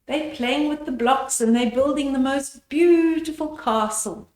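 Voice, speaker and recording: A woman's voice: sits high, measured pace, darkish tone, echoey room. An older woman storyteller reading a bedtime book to young children and explaining the pictures in simple words. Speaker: storyteller, host of a children's read-aloud.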